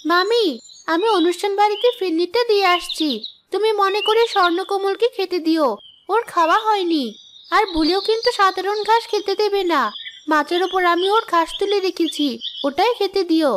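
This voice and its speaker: Very high-pitched, kind village girl by an actress. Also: moderate pace, noisy background. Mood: concerned. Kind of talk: children's cartoon voice acting.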